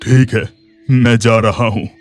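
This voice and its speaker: A man playing a protective, cautious village uncle in an animated horror story, stern and wary. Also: mid-range voice, slow, lightly acted. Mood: calm. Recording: music behind the voice.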